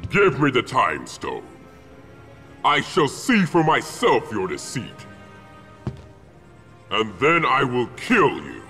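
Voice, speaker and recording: An actor playing an arrogant, menacing titan supervillain in a video game, with a gravelly voice and pompous, disdainful formal speech. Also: male voice, mid-range voice, wildly swooping pitch, slow, long pauses, sharp tone, faint music behind, exaggerated voice. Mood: wrathful. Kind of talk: video game villain acting.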